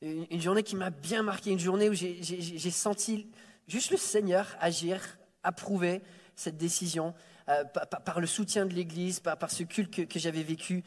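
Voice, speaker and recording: A man's voice: high-pitched, steady tone, normal speed. A man, preaching a Sunday sermon as a guest, joking about himself and often repeating words as he restarts. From France. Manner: warm self-deprecating humor, frequent stammered repeats. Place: church sanctuary. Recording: reverberant church hall.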